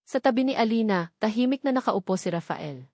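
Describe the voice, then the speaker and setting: A woman's voice: medium pitch, expressive, brisk, darkish tone, dry recording. Woman narrating a story, dry close sound. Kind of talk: scripted dramatic story narration.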